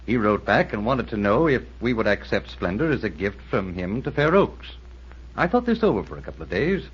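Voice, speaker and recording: An actor playing a kindly, authoritative major at a military academy, formal and explanatory, in old-time radio style. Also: male voice, on the low side, husky. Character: measured, kindly, authoritative middle-aged army major running academy. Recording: muffled, noisy old radio recording.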